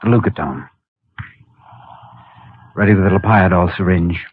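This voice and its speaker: An actor playing a calm, serious surgeon in a 1950s radio drama, speaking in a terse, measured, clinical manner. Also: male voice, low voice, breathy edge. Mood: focused. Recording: muffled 1950 radio recording with faint hiss.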